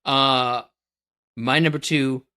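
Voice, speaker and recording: Male podcast co-host, middle pitch, skeptical and argumentative in easy chat. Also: steady tone, takes it slowly, sharp tone, smooth. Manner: skeptical, argues his picks at length. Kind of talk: casual debate chat.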